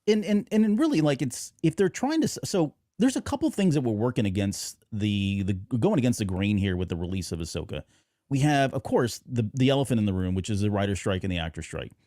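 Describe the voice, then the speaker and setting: A man's voice: fairly low pitch, mellow, no echo, studio quality. A middle-aged man hosting a fan podcast, talking through his points in a casual, agreeable, discursive way. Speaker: podcast host.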